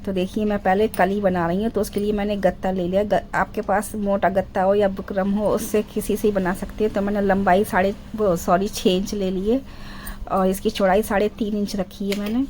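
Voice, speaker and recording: A middle-aged woman with a sore, hoarse throat, doing a voice-over for a sewing tutorial and explaining each step patiently, clearing her throat often. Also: mid-range voice, level tone, a little fast, a little mellow, slight background noise, poor audio. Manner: step-by-step, repeats instructions. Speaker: sewing channel host.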